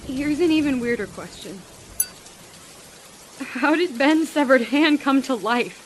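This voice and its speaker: A woman's voice: high voice, expressive, a little slow, slight background noise. A woman playing an unfaithful, scornful, easily panicked wife in a horror audio drama, with intense dramatic delivery. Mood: intimate, hushed.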